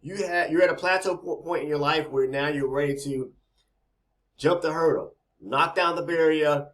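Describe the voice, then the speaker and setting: A man with a slightly raspy voice, talking casually and rambling as he explains trading, with many repeated words and frequent "okay?" tags. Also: fairly high pitch, moderate pace, a bit bright. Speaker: trading educator.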